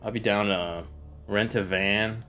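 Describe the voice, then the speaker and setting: A young man chatting casually with friends while gaming, relaxed and laid-back. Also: on the low side, a little slow, soft background music. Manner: relaxed, laid-back, says bro and dude.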